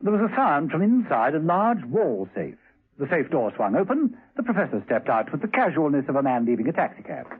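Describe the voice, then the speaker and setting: A man's voice: on the high side, very animated, fairly quick, a little hiss or hum. A man narrating a scripted 1970s radio thriller in a dry, dramatic storytelling manner. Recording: tinny, muffled old radio recording.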